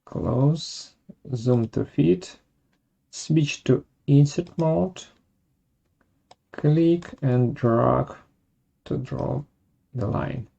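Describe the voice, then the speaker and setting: Software tutorial presenter, unhurried and halting, gravelly mid-pitched voice with a dark tone. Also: highs cut off. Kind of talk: step-by-step software tutorial narration.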